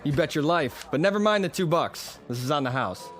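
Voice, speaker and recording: An actor playing a hearty, chatty working-class bartender in a radio drama, with folksy, slangy delivery. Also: male voice, fairly high pitch, lively intonation, fairly quick, a bit bright, faint music behind. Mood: hearty, welcoming.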